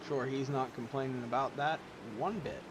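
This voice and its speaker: A man calling a sim race, casual and rambling, with asides. He is losing his voice and clears his throat now and then. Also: mid-range voice, steady tone, moderate pace. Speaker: race commentator. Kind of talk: sim racing play-by-play commentary. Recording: loud engine noise behind. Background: race car engine sounds.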